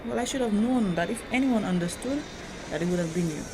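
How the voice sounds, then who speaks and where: A woman's voice: medium pitch, quick pace, lightly acted. A woman acting as a hurt, troubled grown daughter in a drama, speaking earnestly and confidingly about feeling trapped. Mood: frustrated. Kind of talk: dramatic acted dialogue. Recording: noisy location sound with steady ambience.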